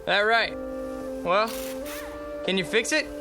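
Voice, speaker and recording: A man's voice: sits high, takes it slowly, over music. A young man playing an easygoing, placating, slightly nervous newlywed husband in conversational radio drama dialogue. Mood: polite, uneasy. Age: young adult.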